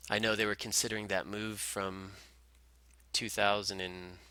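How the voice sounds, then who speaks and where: Male analyst, lowish pitch with a very sharp, bright edge, informal tutorial delivery, close and dry. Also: level tone, moderate pace, clear, resonant tone, no echo. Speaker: market analyst presenter. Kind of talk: informal screen-share chart commentary.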